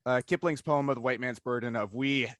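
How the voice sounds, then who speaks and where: A man's voice: medium pitch, fairly quick, fairly bright tone, dry recording, studio quality. A man hosting a casual discussion interview, thinking aloud analytically in long stretches and often checking in with "right?". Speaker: interviewer, podcast host.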